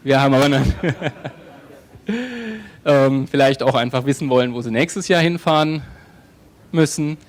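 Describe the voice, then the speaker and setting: A man's voice: medium pitch, fairly even intonation, normal speed, pauses often. A man moderating the closing session of a conference, speaking in a friendly, chatty way with frequent fillers and restarts. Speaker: event moderator. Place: conference hall.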